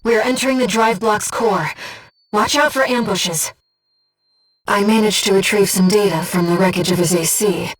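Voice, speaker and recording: A composed, earnest female mind-companion from a video game, acted by a woman, guiding a pilot through missions. Her voice is medium in pitch and slightly breathy, with formal, measured phrasing. It sounds a little removed from the mic. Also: far from the mic, faint music behind, lightly acted, low-quality recording. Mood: composed, informative. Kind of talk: scripted video game voice acting, mission briefing.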